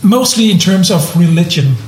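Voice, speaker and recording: A man's voice: high-pitched, animated, normal speed, darkish tone, echoey room, slight background noise. A man from Denmark talking casually on a podcast, often restarting and repeating words as he thinks. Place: room in southern Germany.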